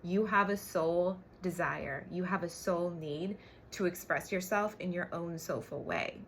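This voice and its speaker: A woman's voice: on the low side, unhurried, a bit bright, slight background noise. A woman coach giving an emphatic motivational livestream talk, swearing casually and often checking in with short tag questions. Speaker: brand coach and business co-owner.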